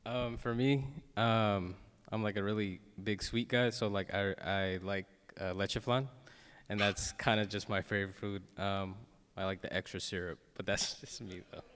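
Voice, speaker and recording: Deep smooth man, hesitant, in a hall. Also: steady tone, normal speed. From Philippines. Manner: hesitant, many ums, soft laughs. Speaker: teacher, club sponsor. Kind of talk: answering interview questions on stage. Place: school assembly hall. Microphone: handheld mic. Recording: long hall reverb.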